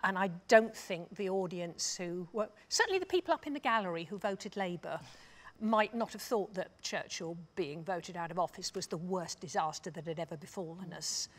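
A woman's voice: lowish voice, moderate pace. British woman in her sixties, reflective. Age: 60s. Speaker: panelist, drama scholar. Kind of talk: thoughtful panel discussion. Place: stage panel before dark curtains, indoors.

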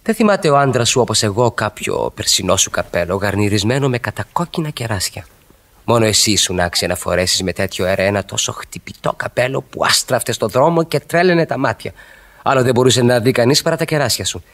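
A man acting in a radio drama as a smitten middle-aged married man, ardently and theatrically flattering a female friend, repeating phrases for effect. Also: medium pitch. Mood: admiring, teasing.

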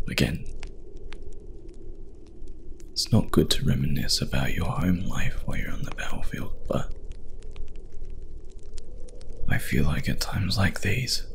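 A man playing a doomed warrior husband in an ASMR love-letter monologue, solemn and tender, slow and half-whispered. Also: sits low, creaky, noisy background. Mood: grave, resolute.

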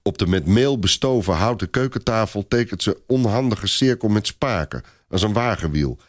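Male storyteller for scripted narration, lower-than-average pitch, highly varied melody. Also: lively intonation, moderate pace, dry recording, clean studio sound.

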